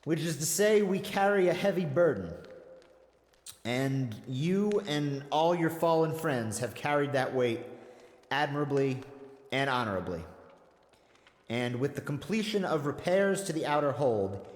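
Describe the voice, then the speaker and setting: An actor playing an honorable, big-hearted army general in a fantasy audio drama, giving halting, sincere oratory to troops. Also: male voice, fairly high pitch, animated. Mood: sincere, rousing. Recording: roomy, long echo.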